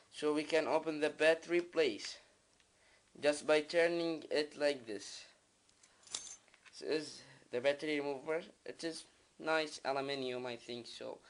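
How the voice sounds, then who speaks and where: Male vlogger, Arabic accent, fairly high voice, slow halting delivery, casual product demonstration. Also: a bit bright, slight background noise. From Middle East. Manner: halting, simple non-native English with repetitions. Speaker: video creator, product reviewer. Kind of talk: casual unboxing vlog, talking while demonstrating.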